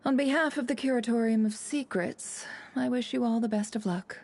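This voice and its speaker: An actress playing a shrewd, aloof intelligence boss in a video game, cool and sardonic in manner. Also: female voice, on the high side, moderate pace, a little mellow. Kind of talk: video game dialogue acting.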